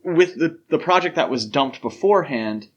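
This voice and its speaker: A man's voice: fairly high pitch, expressive, moderate pace, muffled recording. A man hosting a podcast, discussing film in a casual but detailed, explanatory way. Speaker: podcast host.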